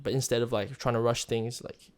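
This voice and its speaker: A young man co-hosting a podcast, chatting casually, relaxed and curious, with lots of "like"s. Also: medium pitch, a little fast. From Australia. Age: young adult. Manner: asks questions, relaxed, heavy use of "like". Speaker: podcast co-host. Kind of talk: casual chat.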